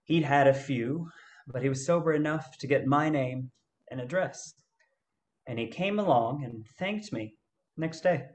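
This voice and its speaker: A man playing an easygoing gold-hunting adventurer in a radio play, telling his story in a halting way. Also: mid-range voice, a little mellow, close to an everyday voice. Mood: amused storytelling.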